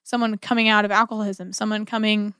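A woman with a medium-pitched voice speaks as a podcast co-host in a dry, close-sounding recording. She talks earnestly and conversationally, often framing her points as questions or imagined quotes. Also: flat delivery, fast talker, bright, forceful tone, smooth, no echo. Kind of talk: conversational religious discussion.

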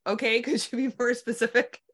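A woman in her forties, chatting in a relaxed conversation, laughing easily and making quick asides. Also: on the high side. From New Jersey/New York, USA. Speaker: podcast host and guest. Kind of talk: casual conversation.

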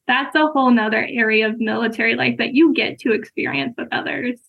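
A woman guest chats in a podcast interview, telling lively anecdotes and acting out quoted exchanges. Her voice is a little high. It comes through a thin, tinny line, like a remote call. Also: a little fast, creaky, breathy edge. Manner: lively storyteller who acts out quoted exchanges. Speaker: podcast guest, military spouse. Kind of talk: casual interview chat.

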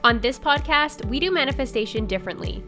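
A woman's voice: high voice, expressive, quick pace. A woman co-host reading a scripted, promotional show intro. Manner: bright, promotional. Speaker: podcast co-host. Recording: show intro over clear music.

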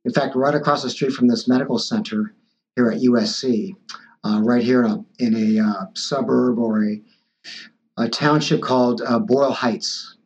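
A man's voice: medium pitch, steady tone, moderate pace. A man in his sixties, a physician guest, answering interview questions in a hesitant way with many fillers and restarts. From born in Taiwan, raised in Los Angeles. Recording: dull lo-fi audio.

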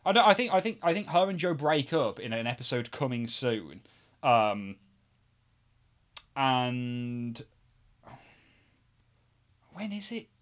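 A man co-hosting a podcast, chatting casually and jokily through an episode recap, slipping into animated quotes. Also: medium pitch, slow, pauses often. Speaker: podcast co-host reviewing sitcom episodes.

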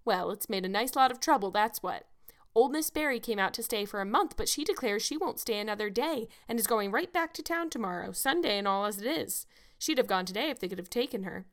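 A woman's voice: a little high, expressive, a little fast. Storybook role: older village busybody, played by a woman narrator, blunt, folksy, sure of her own judgment. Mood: gossipy, knowing. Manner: folksy, sage, scolding. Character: blunt, opinionated, gossipy older village matron.

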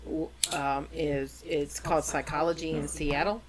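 A woman's voice: sits low, little pitch movement, unhurried, a crowd in the background. A middle-aged woman co-hosting a podcast, speaking hesitantly with many fillers and short agreements. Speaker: podcast co-host. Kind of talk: casual conversation.

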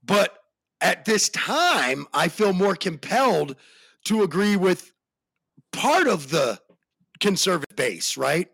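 An American man hosting a casual podcast chat, animated and slangy, with a deep voice that jumps in pitch for emphasis. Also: takes it slowly, vocal fry, breathy edge, no echo. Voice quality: deep bassy voice. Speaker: podcast host.

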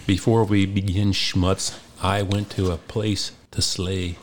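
A man hosting a casual chat, enthusiastic and animated about music. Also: sits low, takes it slowly, slight background noise. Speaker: podcast host. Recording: loud music playing under the voice.

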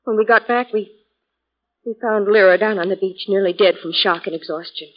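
A woman's voice: medium pitch, brisk, natural voice. Woman playing a worried, gracious lady, muffled recording. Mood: gracious, subdued. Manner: hesitant, earnest. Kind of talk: 1940s radio acting. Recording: dull lo-fi 1940s radio recording.

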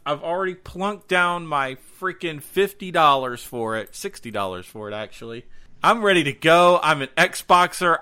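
A man hosting a podcast, chatting casually about gaming news in an excitable, slangy way, repeating words for emphasis. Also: fairly high pitch. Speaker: podcast host and game streamer.

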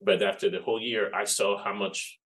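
A man in his forties from Rico, a Spanish speaker, telling his story as an interview guest in a reflective, unhurried way. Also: fairly low pitch, fairly even intonation, fairly bright tone. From Puerto Rico. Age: 40s. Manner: reflective, unhurried storytelling with frequent "you know". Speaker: guest, game developer. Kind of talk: casual interview chat.

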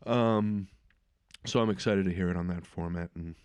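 Low-voiced relaxed male podcast host. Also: moderate pace, clean tone. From eastern United States. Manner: relaxed, filler-heavy, trails off quietly. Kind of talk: casual interview chat.

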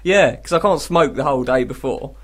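A man's voice: medium pitch, a little fast. A man with a British accent co-hosting a radio show, chatting casually in a jokey, rambling way. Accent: British English. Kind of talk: casual comic chat.

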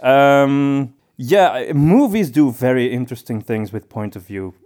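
A man co-hosting a podcast in casual discussion, with halting phrasing that often doubles back on words. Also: medium pitch, darkish tone. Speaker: podcast co-host.

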